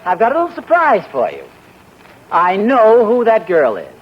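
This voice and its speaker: A man's voice: high-pitched, huge pitch swings, normal speed, slightly rough, full character voice. An actor playing a husband who teases his wife with a boastful story in a 1940s radio sitcom. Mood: teasing. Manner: teasing, playfully boastful storyteller. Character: teasing husband spinning a boastful tale. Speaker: sitcom lead. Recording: muffled 1940s radio broadcast with hiss.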